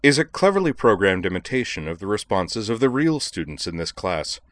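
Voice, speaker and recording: A stern male professor, as a male narrator plays him, with a low voice and a dry, close sound. He is cold and scornful, speaking in cutting, formal phrases as in a storybook reading. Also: moderate pace, a bit bright, dry recording. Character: cold, harsh, indignant male literature professor, scornful and commanding.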